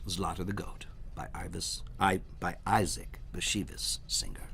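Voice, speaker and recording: A man reading storybooks aloud for children in a dramatic, expressive way, at times dropping to a hush. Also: sits low, unhurried, gravelly, slight background noise. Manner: dramatic, dropping to hushed near-whispers. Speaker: storybook reader.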